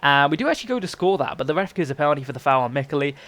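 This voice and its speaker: A man's voice: a little high, sing-song, very fast, fairly bright tone, dry recording. Young man, congested from a cold, rattling through chatty game commentary at speed. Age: young adult. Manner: chatty, rambling, frequent self-corrections. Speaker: gaming YouTuber. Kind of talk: casual gameplay commentary.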